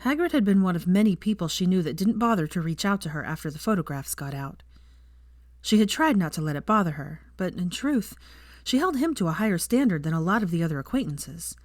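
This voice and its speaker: A woman narrates a story in audiobook style. Her voice sits fairly low, and the sound is dry and close, with very little room in it. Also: lively intonation, brisk, no room sound. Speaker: fan fiction narrator.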